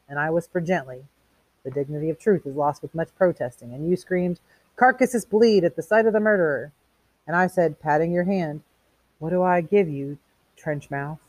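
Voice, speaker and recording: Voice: medium pitch, warm tone, dry recording, close to an everyday voice, poor audio. A reader voicing a mocking, erudite male fire captain who declaims quotations theatrically in a storybook reading. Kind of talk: audiobook character reading.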